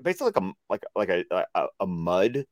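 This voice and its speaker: A man co-hosting a casual discussion show, explaining references in an enthusiastic, nerdy, halting way. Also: deep voice, big pitch swings, no echo, studio quality. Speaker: co-host of animated series discussion show.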